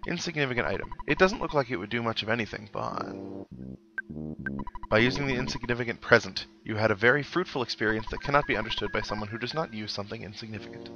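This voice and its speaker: A man's voice: medium pitch, fast talker. A man doing casual let's-play commentary with wry, sarcastic asides, sometimes reading game text aloud. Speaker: gaming show host, player. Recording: dull lo-fi mic, game music behind. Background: synthesized video game music.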